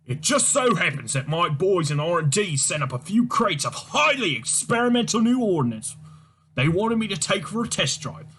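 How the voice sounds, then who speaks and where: A man reading a comic aloud, voicing a boisterous, brash company boss with a loud, booming delivery. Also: very animated, moderate pace, husky, heavily acted.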